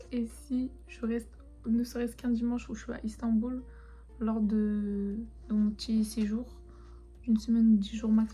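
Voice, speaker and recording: A young woman who grew up speaking Turkish, chatting casually and rambling softly, often half-whispering, as she shows her souvenirs. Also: a little high. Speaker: vlogger. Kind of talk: casual chat showing souvenirs.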